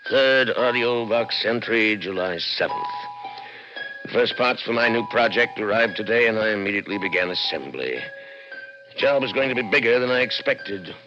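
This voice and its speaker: An actor in a 1960s radio drama playing a brooding, intense scientist with theatrical, deliberate emphasis. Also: male voice, on the low side, over music, lightly acted. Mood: clinical, resolute. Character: obsessive brilliant male scientist, brooding and intense. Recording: lo-fi old radio broadcast recording.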